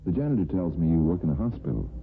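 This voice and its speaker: A man's voice: low voice, big pitch swings, rapid-fire, gravelly, full character voice. An actor playing a suave, persistent neighbor courting a woman, teasing and wordy, in an old-time radio drama. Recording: muffled vintage radio recording, faint music.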